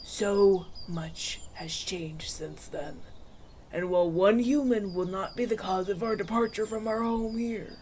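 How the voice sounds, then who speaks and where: A man's voice: sits high, darkish tone, slight background noise. Guy doing a stern holy village elder, formal proclaiming style, fantasy roleplay, faint birds in the background. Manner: ceremonial, declamatory, formal. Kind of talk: theatrical fantasy audio roleplay acting. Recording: outdoor nature ambience with birdsong behind.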